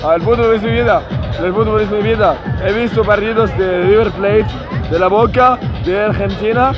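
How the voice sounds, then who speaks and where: A young man from Tunisia, not a native Spanish speaker, talking excitedly in halting Spanish with restarts during a street interview at a fan festival. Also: sits high, dull audio. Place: outdoor fan festival with crowd. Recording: loud crowd and music around.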